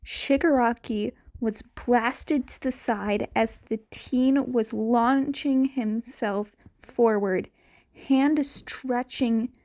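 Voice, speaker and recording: Slow-paced, higher-voiced woman narrating a story. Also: darkish tone. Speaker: podfic narrator. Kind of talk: scripted fan fiction narration, read aloud.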